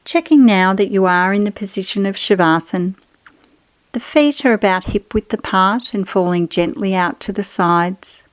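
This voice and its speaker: A woman guiding a relaxation meditation in a soothing, measured, instructional manner with pauses between phrases. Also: mid-range voice, no echo, rough recording. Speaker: yoga nidra guide.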